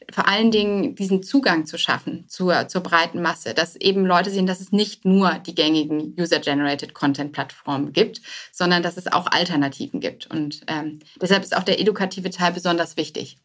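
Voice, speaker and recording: A woman's voice: on the low side, fairly bright tone. A woman answering as a podcast guest, explaining informally and often rephrasing herself as she goes. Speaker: guest, startup co-founder. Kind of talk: conversational podcast interview answers.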